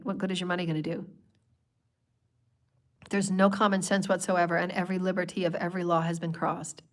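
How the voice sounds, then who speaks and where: A woman hosting a spiritual livestream, hoarse from a cold, talking in long flowing strings of repeated phrases. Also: fairly low pitch. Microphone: livestream microphone.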